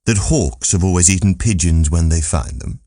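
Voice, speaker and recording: A man playing a world-weary, cynical philosopher in an audiobook, blunt and deadpan. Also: low voice, moderate pace, no room sound, studio quality. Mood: coolly reasoning. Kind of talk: audiobook character voice.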